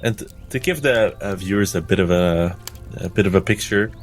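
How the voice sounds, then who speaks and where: Guy player narrating his character's moves, low voice, music playing behind. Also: moderate pace. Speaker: tabletop game player. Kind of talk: narrating own character's actions in roleplay.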